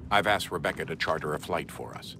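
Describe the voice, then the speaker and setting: A terse, authoritative team leader in a naturalistic game scene. His voice is extremely deep, with noticeable noise behind it. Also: animated, quick pace. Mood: businesslike. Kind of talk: naturalistic video game acting. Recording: noisy ambience behind.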